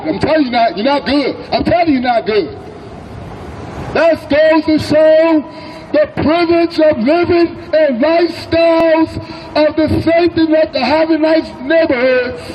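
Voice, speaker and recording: A man's voice: very high-pitched, muffled recording. An American man giving an urgent, admonishing speech as a street protester outdoors. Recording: traffic noise behind.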